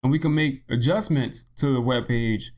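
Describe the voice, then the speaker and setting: A man narrating a software tutorial in a casual, unscripted way, thinking aloud and repeating himself, his voice slightly hoarse. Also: mid-range voice, normal speed. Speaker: tutorial host.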